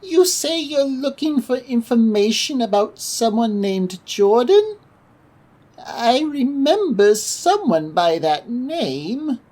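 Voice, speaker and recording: A woman playing a kindly, cautious elderly librarian in an audio drama, speaking in a measured, gently apologetic manner. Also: a little high, sing-song, full character voice. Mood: hesitant, intrigued.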